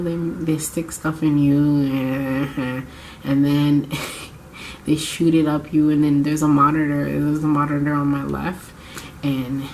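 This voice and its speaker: A woman's voice: low voice, slight background noise. A young woman vlogging casually to camera, congested from a cold, chatty and rambling as she corrects herself. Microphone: camera mic.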